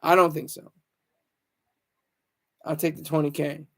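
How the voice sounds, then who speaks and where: A man's voice: a little high, level tone, a little slow, creaky. A man hosting a casual livestream, talking to viewers in a rambling way and repeating phrases for emphasis. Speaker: livestream host.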